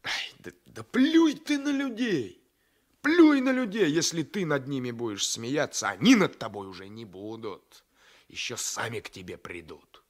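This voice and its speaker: A man's voice: medium pitch, very animated, unhurried. An actor in a 1980s radio play as a domineering, sly gendarme, patronising and insinuating, often repeating his phrases. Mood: scornful, urging. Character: domineering, sly gendarme coaxing a weaker man. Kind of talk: Soviet-era 1980s radio play acting.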